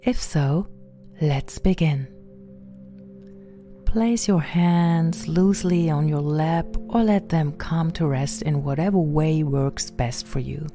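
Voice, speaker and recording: Slow, low-voiced woman guiding meditation. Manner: gentle, soothing, unhurried, softly spoken with breathy passages. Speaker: meditation guide and podcast host. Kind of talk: guided meditation narration. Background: background music.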